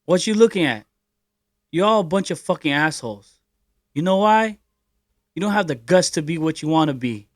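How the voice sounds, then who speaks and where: A man voicing a swaggering, defiant gangster, loud and sneering, on a podcast. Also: on the high side, lively intonation, moderate pace, pauses often, no room sound, studio quality, lightly acted. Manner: loud, sneering, punchy delivery. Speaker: podcast co-host.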